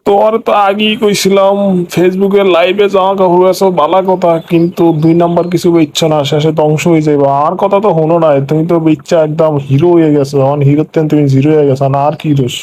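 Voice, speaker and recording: A man's voice: fairly high pitch, flat delivery, quick pace, darkish tone, slight background noise, natural voice. A man voicing an older husband in a comic cartoon, smugly mocking and nagging in a regional Bangladeshi Bengali dialect. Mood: smug, reproachful. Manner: mocking, I-told-you-so nagging. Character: older husband, smug, reproachful, needling his wife.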